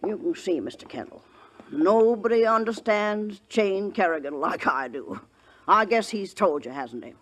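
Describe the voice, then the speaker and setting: An actress playing a big, brassy frontier saloon keeper in a 1950s radio Western, blunt and folksy, doting but explosive. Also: female voice, medium pitch, animated, a little slow, slight background noise, close to an everyday voice. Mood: tender, doting.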